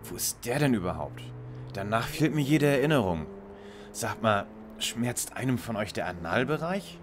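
Man as bossy young detective, mid-pitched. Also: expressive, moderate pace, background noise, close to an everyday voice. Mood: groggy, matter-of-fact. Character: bossy, analytical young male lead detective. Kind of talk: parody detective audio drama.

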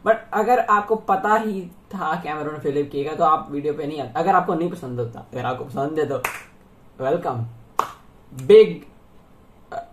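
A man's voice: fairly high pitch, racing, roomy sound, slight background noise. A young man from India hosting a reaction video, chatting casually and thinking aloud with frequent laughs, seated in a small room with headphones on. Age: young adult.

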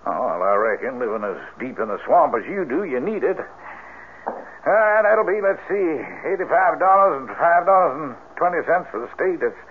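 An actor playing a friendly, nosy rural storekeeper with a Southern US accent in a 1970s radio drama, chatty and drawling. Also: male voice, on the high side, expressive, moderate pace, natural voice. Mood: curious. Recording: tinny vintage radio broadcast, faint noise.

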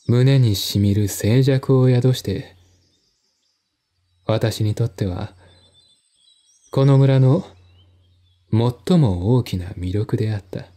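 Guy narrating an audiobook, slow n deliberate, soft dark tone, formal literary style. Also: medium pitch. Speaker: narrator, solo audiobook reader.

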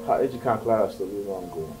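A man's voice: lowish voice, expressive, quick pace, over music, close to an everyday voice, poor audio. A man playing a polite, guarded young family friend in a film drama. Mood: casual, guarded.

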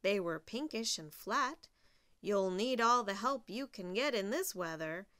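Woman as deer, helpful, unsure, friendly, hesitant, children's storybook, lively pitch. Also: a little slow, no echo, clean studio sound.

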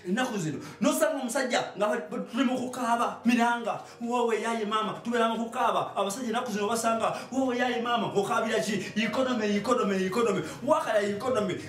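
A middle-aged man with a Ugandan accent, delivering an animated comic monologue to camera as a show host, often repeating phrases for emphasis. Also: very high voice, fast talker, hardly any pauses. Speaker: online comedy show host. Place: small indoor studio with hard walls. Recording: echoey room, distant microphone, music.

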